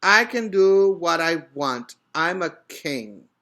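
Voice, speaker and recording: A man's voice: a little high, animated, slow pace, pushed and bright, full character voice. Man reading as a bossy, boastful boy king. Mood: demanding, defiant. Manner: demanding, haughty.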